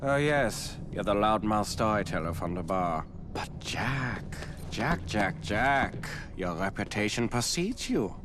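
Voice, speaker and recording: A man's voice: lowish voice, expressive, slow, few pauses, noisy background. An actor playing a smug, menacing villain racer in a video game, drawling and mock-polite as he taunts. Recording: music behind the voice.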